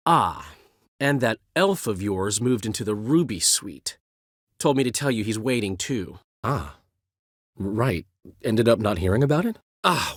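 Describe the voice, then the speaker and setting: A man's voice: mid-range voice, fairly bright tone, no echo, studio quality. An actor playing a flamboyant, talkative male bard in a video game, witty and theatrical. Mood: playful. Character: flamboyant, chatty middle-aged male bard and poet. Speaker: game character.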